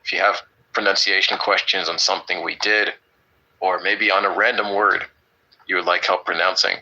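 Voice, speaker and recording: A man with a deep voice teaching an online English class, upbeat and teasing with his students. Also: fairly even intonation, normal speed, pushed and bright, muffled recording.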